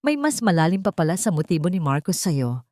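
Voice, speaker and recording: A woman's voice: fairly low pitch, very animated, a little fast, dark tone, no room sound, studio quality. A woman voicing a protective male ally in an audiobook, urgent and warning.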